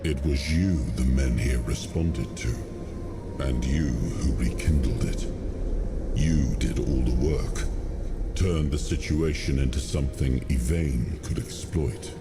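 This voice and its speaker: A man voicing the spirit of a legendary king, speaking slowly and solemnly, with loud game music behind. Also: extremely low pitch, full character voice. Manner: slow, solemn, brooding. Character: ghostly legendary king, grave and resolute.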